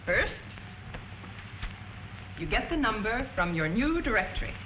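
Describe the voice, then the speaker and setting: A woman narrating as a prim, patient telephone instructor in a 1940s educational film, measured and didactic, on an old muffled soundtrack. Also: on the high side, very animated, a little hiss or hum. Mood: patient, instructive.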